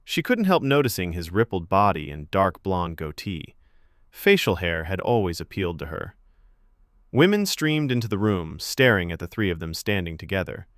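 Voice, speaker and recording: A man's voice: sits low, big pitch swings, normal speed, fairly bright tone, no echo, clean studio sound. A man reading scripted fiction narration as a storyteller.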